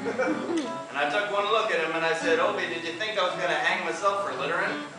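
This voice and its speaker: A man's voice: sits high, a little fast. Young man drawling a story over guitar. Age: young adult. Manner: drawling, deadpan storytelling with long lists. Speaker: student performer. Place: theater stage, live audience. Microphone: far camera. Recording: echoey theater from far off. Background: acoustic guitar strumming.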